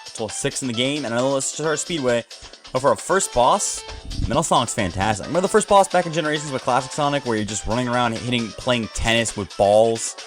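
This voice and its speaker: A man's voice: mid-range voice, quick pace, a bit bright, faint music behind. A man giving casual, informal and opinionated let's play commentary as the host of a gaming video.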